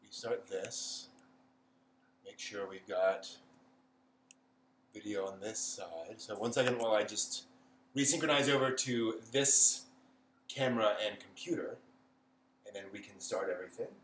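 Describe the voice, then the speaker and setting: A man's voice: fairly low pitch, unhurried, long pauses, a bit bright. A man hosting a casual, unscripted cooking live stream, thinking aloud in a hesitant way and trailing off as he works. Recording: roomy echo, background noise.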